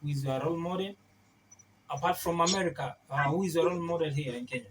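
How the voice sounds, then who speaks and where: A male interviewer asking questions haltingly, with many fillers and restarts. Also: fairly high pitch, fairly bright tone, rough recording. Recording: crowd noise behind the voice. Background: crowd noise.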